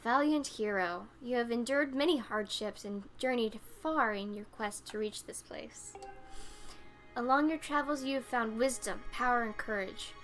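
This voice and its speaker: A woman voicing an earnest, solemn young goddess-reborn heroine in a video game, measured and slightly halting. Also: a little high, fairly bright tone, close to an everyday voice, rough recording. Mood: solemn blessing. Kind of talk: video game dialogue read-aloud. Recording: music behind the voice.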